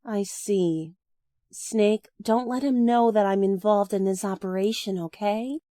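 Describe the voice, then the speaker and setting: A woman playing a sweet-talking, slyly passive-aggressive ex-partner of a soldier in a comedic game parody, prim and breezy with dark understatement. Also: medium pitch, a little slow, dry recording, studio quality, close to an everyday voice. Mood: sweetly sly.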